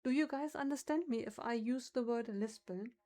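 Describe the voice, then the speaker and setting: A woman's voice: on the high side, normal speed, mellow. A woman with a German accent, a native German speaker, chatting casually on a livestream and musing aloud over how words are pronounced.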